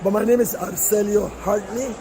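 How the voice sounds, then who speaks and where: A hoarse older man from Panama, a retired canal manager, speaking earnestly and proudly in a street interview. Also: high voice, steady tone, moderate pace. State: hoarse, lost voice. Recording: outdoor fair with crowd noise. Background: crowd at an outdoor fair.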